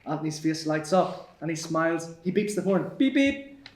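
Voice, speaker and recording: Higher-voiced male narrator. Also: fairly even intonation, normal speed. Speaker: stage-direction narrator. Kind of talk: scripted narration at live table read. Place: theatre stage. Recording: roomy live theatre stage.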